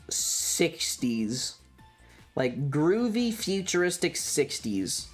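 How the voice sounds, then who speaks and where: Video game streamer, casual livestream chat, medium pitch, rambling, blunt, sweary, background music.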